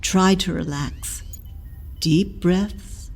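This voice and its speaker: A gravelly elderly matron speaks slowly. She scolds and teases her grown charge like a mother would. In this audio drama she sounds blunt and plainly fond. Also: fairly low pitch, soft background music, heavily acted. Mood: motherly. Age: older. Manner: slow, dry and scolding. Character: elderly woman healer, blunt, motherly, teasing. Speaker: matron healer.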